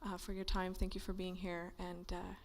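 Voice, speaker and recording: A woman giving quick, slightly hesitant opening remarks and thanks as the host of a symposium in a lecture hall. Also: fairly low pitch. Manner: quick, hesitant, flat delivery. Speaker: event organizer and host.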